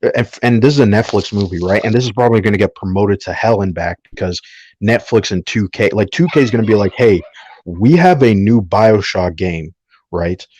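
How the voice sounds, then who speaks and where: A man's voice: fairly low pitch, brisk, darkish tone, highs cut off. A man co-hosting a podcast, explaining his opinions argumentatively in casual discussion and checking in with short tag questions. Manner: argumentative, explanatory, often tags sentences with "right?". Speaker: podcast co-host.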